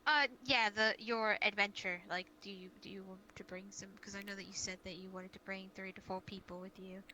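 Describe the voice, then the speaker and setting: A woman's voice: medium pitch, steady tone, a little fast, pushed and bright. A woman speaking earnestly and giving instructions in an improvised in-character roleplay chat, as a guild leader. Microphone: VR voice chat microphone. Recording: noisy online voice chat.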